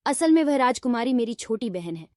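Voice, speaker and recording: Lady doing a wise fairy tale fox, highish voice, dry sound, tells you off but helps out. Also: big pitch swings, fairly quick, a little mellow, no echo. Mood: resigned, pleading. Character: wise scolding helpful female fox under a spell. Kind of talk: Hindi fairy tale character voice.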